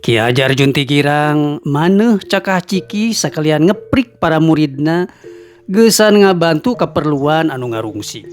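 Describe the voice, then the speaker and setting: A male storyteller narrating a serialized folktale, who greets his listeners formally and tells the story in a slow, unhurried way. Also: fairly high pitch, lively intonation. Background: faint music.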